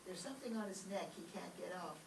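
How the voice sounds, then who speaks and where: A woman plays a polite, dutiful schoolgirl in an old-time radio comedy. She sounds far from the mic in a roomy space. Noise is clearly heard behind her. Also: on the low side, fast, close to an everyday voice. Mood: polite, innocent. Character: polite, dutiful teenage schoolgirl, principal's daughter. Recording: distant echoey stage recording, clear background noise.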